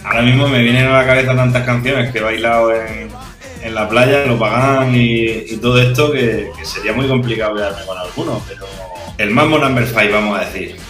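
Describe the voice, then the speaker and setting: A man's voice: mid-range voice, a little fast, reverberant, faint music behind. A man in his forties from the Murcia region, chatting casually and fondly about beaches over a video call with a headset. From Murcia region, Spain. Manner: relaxed, reminiscing, chatty. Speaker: interviewee. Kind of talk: casual personal chat. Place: small room indoors. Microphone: headset mic on a video call.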